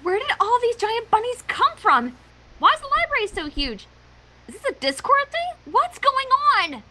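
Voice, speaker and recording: A woman's voice: very high-pitched, sing-song, moderate pace, sharp tone, full character voice. A woman voicing an earnest, easily alarmed cartoon pony heroine in a fan fiction reading. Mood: bewildered.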